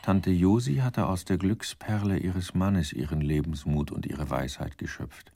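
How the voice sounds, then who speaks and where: A man narrates a radio drama in a very low, dark voice with a gravelly creak. His delivery is measured, literary and wry. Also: no room sound.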